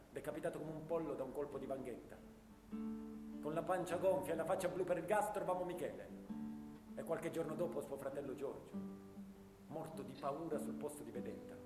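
An actor playing a haunted young First World War soldier, declaiming grave memories in a theatrical radio drama monologue. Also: male voice, on the high side, fairly quick, lightly acted. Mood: anguished, grave. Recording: echoey hall, guitar music behind. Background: guitar music.

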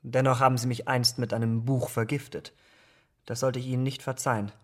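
Casting: male actor as youthful, troubled gentleman in radio drama, mid-range voice, serious and haunted delivery. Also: mid-range voice, little pitch movement, lightly acted. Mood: reproachful, pleading. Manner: earnest, grave, deliberate. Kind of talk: German radio drama acting.

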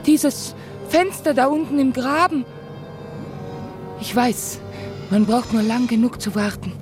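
An actress playing an enigmatic, fearful, visionary woman in a literary radio drama, dreamy and halting, full of questions. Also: a little high, music in the background, lightly acted. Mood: fearful, agitated.

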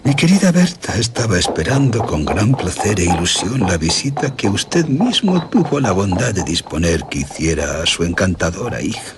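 A man's voice: low-pitched, big pitch swings, normal speed, hardly any pauses, noisy background. An older man with a Castilian Spanish accent playing a grieving aristocratic general who recounts his tragedy in florid radio drama style. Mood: solemn narration. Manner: florid, theatrical, dramatic storytelling. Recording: music under the voice.

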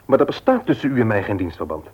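A man's voice: on the low side, wildly swooping pitch, fast. A man acting in a radio play as a firm, frank nephew, formal and argumentative. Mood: firm, indignant. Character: firm, frank married nephew running his uncle's business. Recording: dull, lo-fi old radio recording.

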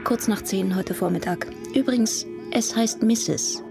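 A woman's voice: medium pitch, measured pace, gravelly. A woman playing a dead man's guarded, defensive daughter in a radio crime drama, clipped and prickly. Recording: music behind the voice.

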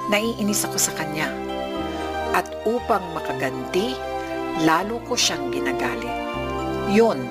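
A man's voice: a little high, expressive, measured pace, pauses often. A young man full of sulky defiance. He speaks as a wayward son and possessive boyfriend in a radio drama. Music plays behind him. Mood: resentful recounting. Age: young adult. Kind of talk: radio drama acting and narration.

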